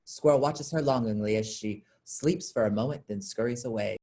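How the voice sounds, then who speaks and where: A woman's voice: rumbling low, normal speed, warm tone. A woman reading scripted stage directions as narration for a play.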